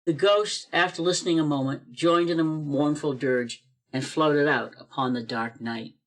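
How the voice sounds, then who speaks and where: A man's voice: fairly high pitch, normal speed, fairly bright tone. A man narrating a radio play as a scripted storyteller.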